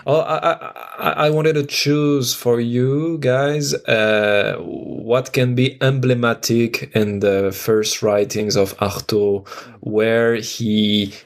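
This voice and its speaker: A man's voice: mid-range voice, steady tone, slow pace. A man with a French accent giving an informal introduction, hesitant and searching for his English words.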